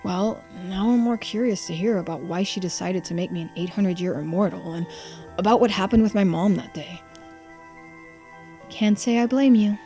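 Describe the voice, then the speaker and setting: A woman's voice: mid-range voice, dark tone. A woman voicing character dialogue in a visual novel playthrough, with conversational, acted line readings. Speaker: streamer reading game dialogue aloud.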